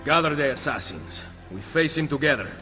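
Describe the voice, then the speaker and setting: An actor playing a shrewd, forceful conspirator in a video game. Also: male voice, a little high, lively intonation, brisk, soft background music. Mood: resolute. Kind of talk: dramatic video game cutscene acting.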